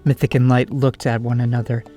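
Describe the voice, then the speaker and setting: A man's voice: medium pitch, flat delivery, a little fast, dark tone, faint music behind. A man giving scripted storybook narration as the narrator of an audio drama.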